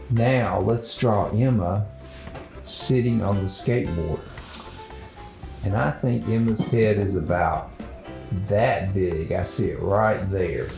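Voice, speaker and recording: A man hosting a children's drawing show, talking in a gentle, unhurried, halting way as he thinks aloud through a casual lesson. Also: on the low side, vocal fry, reverberant.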